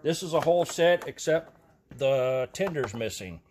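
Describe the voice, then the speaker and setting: A man's voice: medium pitch, lively intonation, unhurried, darkish tone, a little hiss or hum. A man in his fifties, a guest collector, explaining items in a matter-of-fact, knowledgeable way, recorded on a phone camera in a large warehouse. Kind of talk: casual explaining. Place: large indoor storage warehouse.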